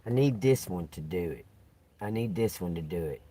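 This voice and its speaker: A man hosting a live stream, chatting loudly and laughing often while telling profane stories. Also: deep voice, animated, moderate pace, husky, no echo. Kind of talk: rambling casual live stream chat.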